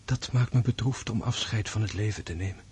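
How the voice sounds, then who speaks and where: An actor playing a gravely wounded nobleman in a radio drama, tender and sorrowful, musing in a hushed, introspective way. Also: on the low side, a little hiss or hum, natural voice. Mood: sorrowful, resigned. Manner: slow, hushed, introspective monologue.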